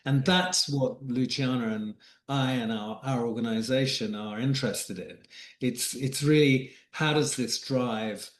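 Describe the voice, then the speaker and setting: A man's voice: medium pitch, a little slow, echoey room. A man, an executive coach and guest, speaking reflectively in a podcast interview and often repeating words as he thinks aloud.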